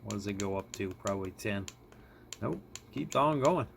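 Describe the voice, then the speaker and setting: A man's voice: fairly low pitch, lively intonation, moderate pace. A man giving a casual hands-on gadget demo, informal and often chuckling as he thinks aloud, recorded indoors with background noise. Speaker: product reviewer. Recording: clearly noisy room recording.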